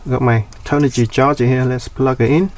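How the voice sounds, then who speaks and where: A man's voice: mid-range voice, steady tone, a little fast, darkish tone. A man giving a casual hands-on DIY demonstration, explaining step by step in short, plain phrases. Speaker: DIY tutorial host. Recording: distant mic, background noise.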